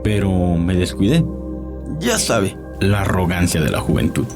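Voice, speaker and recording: Casting: male actor for arrogant old vampire doctor, low expressive voice, savoring dark stories over prominent music. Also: moderate pace. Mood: smug, amused. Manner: theatrical, leisurely, savoring storytelling. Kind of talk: audio drama character acting. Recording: music behind the voice.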